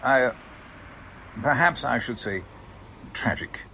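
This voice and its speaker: An actor playing a fussy, formal chief clerk, prim, hesitant and courteous, in a 1940s-style radio drama. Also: male voice, mid-range voice, expressive, takes it slowly, creaky, sharp tone, a little hiss or hum, heavily acted, poor audio. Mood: prim, regretful.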